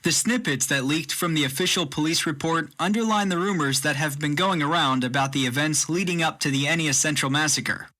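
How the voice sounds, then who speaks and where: A man's voice: fairly high pitch, bright, forceful tone. An actor playing a male news journalist, giving a serious scripted broadcast report in an audio drama.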